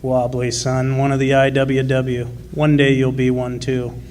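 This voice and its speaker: A man plays a steadfast union miner and family man in a radio play. He speaks plainly at a medium pitch with little rise and fall. Faint noise sits behind him. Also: monotone, a little slow, darkish tone, lightly acted. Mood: steady, earnest. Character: earnest working-class miner father, steadfast union man. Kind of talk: live radio drama dialogue. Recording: faint noise, live stage recording.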